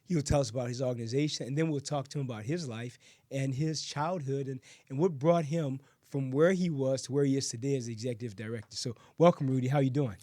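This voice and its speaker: A male physician hosts his own show, talking through medical topics at a moderate, everyday pitch. He explains things plainly, sometimes stopping to correct himself. The sound is dry and close, with no room echo. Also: fast talker, dark tone. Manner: explanatory, with frequent self-corrections. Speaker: physician podcast host. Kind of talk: health talk and interview.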